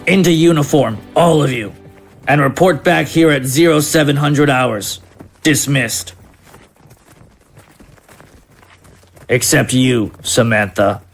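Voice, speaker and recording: An actor playing a menacing military captain, clipped and commanding, with cold cruel amusement, in an audio drama. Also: male voice, medium pitch, a little slow, faint music behind, close to an everyday voice.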